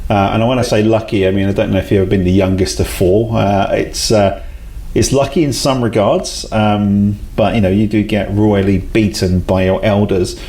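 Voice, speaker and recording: Chatty low-voiced man. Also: fairly even intonation, moderate pace, warm tone. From South London, England. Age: 40s. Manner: chatty, self-deprecating, laughs often. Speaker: guest, head of a talent company. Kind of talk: casual interview chat.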